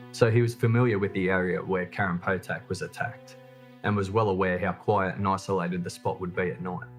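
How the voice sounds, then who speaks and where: Low-pitched man narrating true crime. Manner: measured, matter-of-fact storytelling. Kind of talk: scripted documentary narration.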